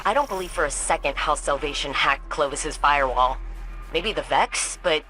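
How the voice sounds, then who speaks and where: A woman's voice: deep voice, moderate pace, music in the background, close to an everyday voice, rough recording. An actress playing a wry, determined woman scientist and adventurer in a video game, with a casual, dry delivery. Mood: wry, focused.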